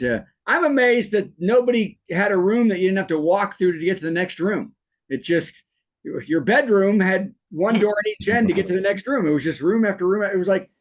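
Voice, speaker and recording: High-voiced man telling an amazed story. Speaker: co-host. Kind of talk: casual anecdote. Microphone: video call.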